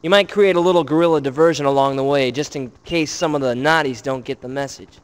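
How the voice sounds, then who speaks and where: An actor playing a brash, wisecracking field officer in a video game briefing, breezy and informal. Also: male voice, mid-range voice, fast, clean tone, lightly acted. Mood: brisk, wry.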